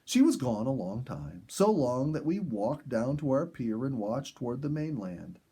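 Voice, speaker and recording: A man narrating a story in an earnest, dramatic storybook style with emphatic pauses. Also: mid-range voice, very animated, warm tone, breathy edge. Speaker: audiobook narrator.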